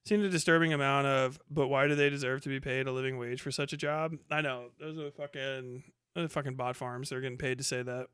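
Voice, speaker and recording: Bright, punchy male streamer, profane and animated. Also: mid-range voice, brisk, few pauses, clear, resonant tone, dry recording, studio quality. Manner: profane, slangy; animated, sarcastic, voices imagined quotes. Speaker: live streamer. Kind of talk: casual livestream commentary reacting to chat.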